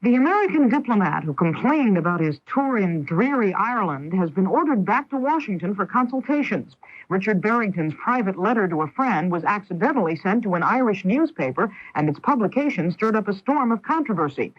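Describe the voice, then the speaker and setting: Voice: mid-range voice, big pitch swings, brisk, highs cut off. A news anchor reading scripted radio news on an old 1980s broadcast.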